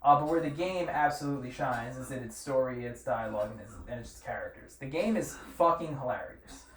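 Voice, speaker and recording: A man's voice: mid-range voice, fairly quick. A man hosting a casual group chat, talking in a crude, opinionated way with plenty of swearing. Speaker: podcast host. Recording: echoey room, distant mic, faint noise.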